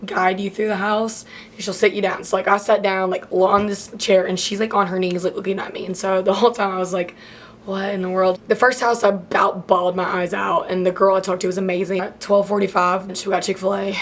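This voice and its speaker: Young woman, late teens, casual vlog, hoarse and fading voice, rambling, lots of fillers, middle pitch, phone camera. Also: little pitch movement, brisk, a little hiss or hum. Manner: chatty, rambling, many "like" fillers. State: hoarse, losing her voice. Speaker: college freshman vlogger.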